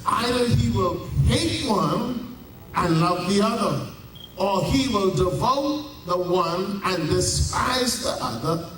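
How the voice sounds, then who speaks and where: High-voiced Bahamian pastor preaching slowly. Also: male voice, expressive, noisy background. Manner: preaching with dramatic pauses and drawn-out emphasis. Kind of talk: sermon. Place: large church. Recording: echoey church heard from a distance.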